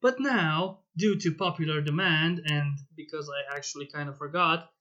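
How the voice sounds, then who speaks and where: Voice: medium pitch, moderate pace, fairly bright tone, highs cut off. A fan fiction narrator reading a scripted story aloud, with a channel host's direct address. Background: music.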